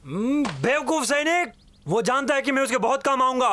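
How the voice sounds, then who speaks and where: A man's voice: extremely high pitch, expressive, moderate pace, pushed and bright, no room sound, exaggerated voice. A man playing a scornful, self-serving schemer in a Hindi cartoon dub, blustery and dismissive. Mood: scornful, scheming.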